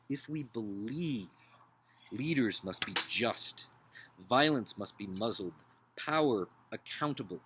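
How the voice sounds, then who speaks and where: A man playing an earnest, idealistic upper-class nineteenth-century gentleman in a dramatic book reading, solemn, deliberate and oratorical. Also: medium pitch, breathy edge, exaggerated voice.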